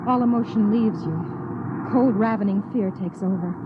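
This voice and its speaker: An actress playing a lonely, anxious young wife in 1950s radio drama style, dramatic and deliberate. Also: female voice, medium pitch, background noise, lightly acted. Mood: tense, fearful. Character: lonely, anxious young wife narrating her own story. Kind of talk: 1950s radio drama, second-person narration. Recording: dull old radio recording.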